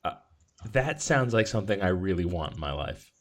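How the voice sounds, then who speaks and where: A man's voice: low-pitched, very animated, normal speed. A man co-hosting a podcast, chatting casually in a playful, rambling way. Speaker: podcast co-host. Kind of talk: casual chat.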